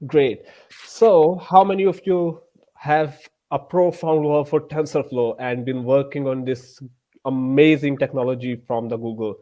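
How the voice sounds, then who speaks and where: A man's voice: mid-range voice, fairly even intonation, a little slow, dark tone. A man from Pakistan with a Pakistani English accent, hosting a student tech session in an upbeat, welcoming way. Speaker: student club lead, host.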